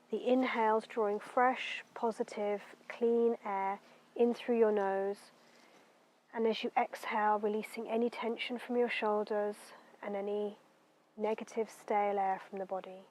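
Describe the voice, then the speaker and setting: Gently guiding woman leading a meditation. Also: mid-range voice, low-quality recording. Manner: gentle, unhurried, soothing instruction with soft phrase endings. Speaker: meditation guide. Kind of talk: guided meditation narration.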